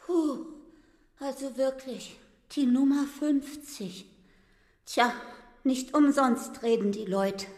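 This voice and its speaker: A woman's voice: sits high, slow pace, pauses often, darkish tone. An actress playing a nosy, greedy, fawning gossip woman in a radio drama, exclamatory and wheedling. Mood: startled, scandalized. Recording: roomy, echoing stairwell sound.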